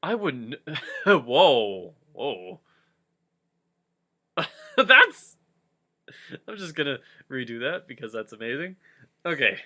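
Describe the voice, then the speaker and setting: Bright-voiced man reading comically. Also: mid-range voice, big pitch swings, takes it slowly, pauses often. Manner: jokey, easily amused. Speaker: reader and commentator. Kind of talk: comic dramatic reading with casual commentary.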